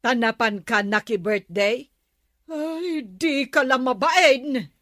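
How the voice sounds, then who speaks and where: A woman's voice: sits high, lively intonation, slow, fairly bright tone, dry recording, studio quality, full character voice. A woman playing a nagging, disapproving villager in an Ilocano comedy drama. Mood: scolding.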